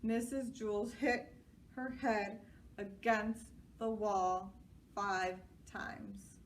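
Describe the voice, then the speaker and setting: Lady reading a kids book out loud, slow pace, bit far from mic, noisy recording. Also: medium pitch, fairly even intonation. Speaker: library storyteller. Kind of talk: children's storybook narration. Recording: distant mic, clear background noise.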